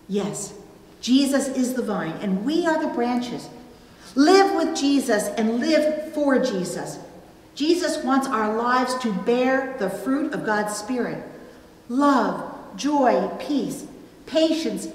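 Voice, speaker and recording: A middle-aged woman preaching a sermon from a pulpit, with deliberate, emphatic phrasing and pauses. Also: a little high. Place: indoors at a church pulpit. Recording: roomy, reverberant church, distant, faint noise.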